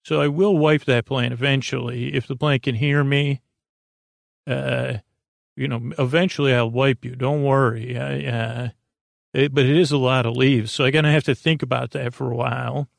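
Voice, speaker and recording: Male sleep-podcast storyteller, mid-pitched with heavy fry and a dry sound; rambling, halting, mildly absurd, self-deprecating. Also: steady tone, no room sound. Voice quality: creaky. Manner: halting, digressive, full of false starts and trailing tangents. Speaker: podcast host.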